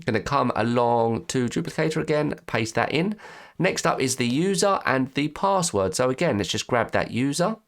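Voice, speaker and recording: A man with a stuffy nose and slightly croaky voice, chatting through a software tutorial and correcting himself as he clicks along. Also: medium pitch, expressive, moderate pace. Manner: chatty, restarts and self-corrects while clicking. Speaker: web design tutor. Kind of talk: screen-recorded software tutorial.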